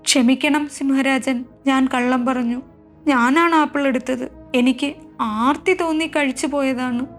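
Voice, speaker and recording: A woman's voice: high-pitched, fairly even intonation, unhurried, faint music behind. A woman voicing a mischievous, greedy young male deer with a childlike, naive manner in a children's storybook tale. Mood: remorseful pleading.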